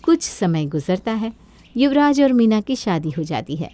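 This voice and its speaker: A woman's voice: mid-range voice, big pitch swings, unhurried, mellow, no echo. A woman storyteller reading a scripted moral story.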